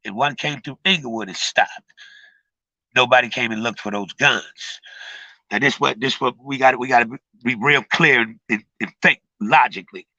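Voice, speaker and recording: A middle-aged man with a raspy voice and a cold, talking casually to his live viewers in street slang, rambling and repeating himself. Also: medium pitch, normal speed, sharp tone, no echo, rough recording. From Chicago. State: has a cold. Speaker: streamer giving advice. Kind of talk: casual livestream talk.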